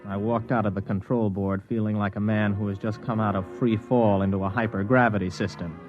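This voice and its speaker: A man's voice: on the low side, fairly even intonation, fairly quick, close to an everyday voice. An actor playing a restless, disillusioned young ex-spaceman, blunt and defiant, in old science-fiction radio drama style with terse, hardboiled delivery. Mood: brooding. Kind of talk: 1950s science-fiction radio drama acting with first-person narration. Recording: vintage radio recording, dull band, music behind.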